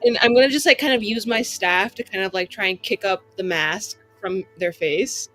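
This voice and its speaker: A woman's voice: mid-range voice, moderate pace, fairly bright tone, soft background music. A woman talking casually as a player describing her moves in a tabletop roleplaying game.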